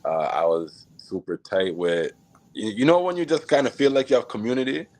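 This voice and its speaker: A man from Jamaica, a podcast guest, telling his life story in a relaxed, reflective way with many fillers and small laughs. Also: on the low side, brisk, low-quality recording. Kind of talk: casual storytelling interview.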